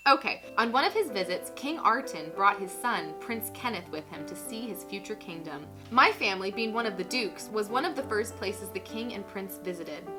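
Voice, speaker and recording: A woman in her twenties hosting a casual comedic vlog, giggly, animated and self-mocking, sometimes mock-solemn as she reads aloud. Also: mid-range voice, moderate pace, few pauses, over music. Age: 20s. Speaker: vlog host reading own teenage writing. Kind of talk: casual comedic vlog chat with dramatic reading.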